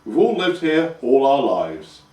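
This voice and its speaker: A man's voice: mid-range voice, big pitch swings, unhurried, mellow. A man doing a dry, put-upon teenage peasant lad, echoey room, heard from a distance. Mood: weary. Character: mild, long-suffering teenage peasant boy.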